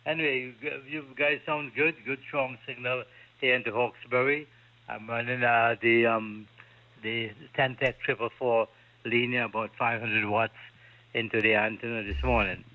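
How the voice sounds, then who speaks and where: Brief: male caller from Ontario, squeaky voice, halting, phone-like radio sound. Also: little pitch movement. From Ontario, Canada. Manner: halting, repeats words. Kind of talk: casual radio chat. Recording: tinny radio transmission.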